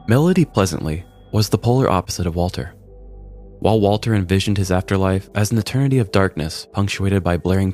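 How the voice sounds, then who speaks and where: Male podcast storyteller, low voice, wry mock-serious delivery, scripted comedy narration, background music. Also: a little mellow. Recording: music bed behind the voice.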